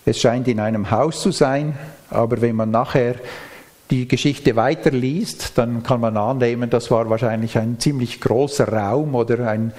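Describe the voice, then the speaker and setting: A man preaching a sermon, reading and explaining scripture in a measured, explanatory way. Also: mid-range voice, fairly even intonation, a little mellow.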